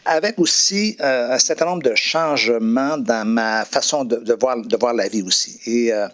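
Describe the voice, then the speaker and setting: A man's voice: medium pitch, moderate pace. Hesitant, reflective middle-aged man. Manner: reflective, hesitant, many fillers and restarts. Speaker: preacher. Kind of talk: personal testimony interview.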